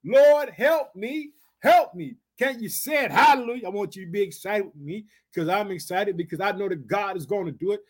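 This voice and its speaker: A man's voice: high-pitched, expressive, fast, few pauses, a bit bright. An American man preaching a fervent sermon in colloquial, folksy language, emphatic and repetitive, punctuating it with exclamations and direct appeals to his listeners.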